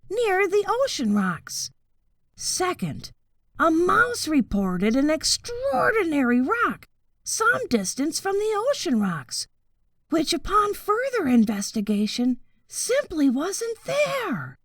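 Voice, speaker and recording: A woman voicing a solemn, self-important storybook wild boar who reports methodically in a hushed whisper. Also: high-pitched, dry recording, studio quality, voice pushed up, heavily acted. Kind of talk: children's storybook character voice.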